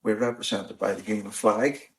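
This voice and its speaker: A man with a Northern Irish accent hosts an in-person podcast chat. His voice sits low and carries a gravelly rasp. He talks at a measured pace, often restarting and filling gaps with "you know". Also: steady tone. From Northern Ireland. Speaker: podcast host. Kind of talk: casual discussion. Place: in-person recording on the Shankill, Belfast.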